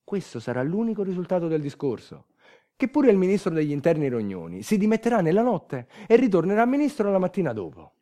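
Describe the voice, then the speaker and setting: A man performing a scripted stage monologue, dramatic, moving between hushed and emphatic delivery. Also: high-pitched, big pitch swings, fast. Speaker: stage actor, narrator of a monologue. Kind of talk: theatrical scripted storytelling.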